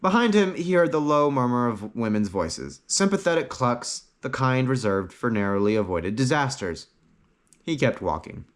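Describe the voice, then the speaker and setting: A man reading a fantasy story aloud as an audiobook narrator, in a dramatic, phrase-by-phrase way. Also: medium pitch.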